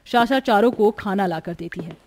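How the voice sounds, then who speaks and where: A woman's voice: medium pitch, moderate pace, a little mellow. A woman narrating a scripted story in a dramatic storytelling style.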